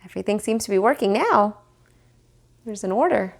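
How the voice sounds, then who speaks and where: A woman reading a picture book aloud to children in an expressive way, pausing to put questions to the listeners. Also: mid-range voice. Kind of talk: animated read-aloud storytelling for children.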